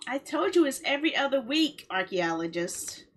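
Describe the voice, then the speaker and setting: A woman's voice: sits high, normal speed. A woman chatting casually to her viewers on a livestream, rambling in a mildly complaining, self-justifying way. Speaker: livestreamer, game streamer. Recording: steady background noise.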